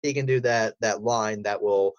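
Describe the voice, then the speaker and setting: A man's voice: lowish voice, fairly even intonation, normal speed, dry recording. A man speaking as an interview guest about his voice work, in a rambling, enthusiastic way. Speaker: guest, voice actor.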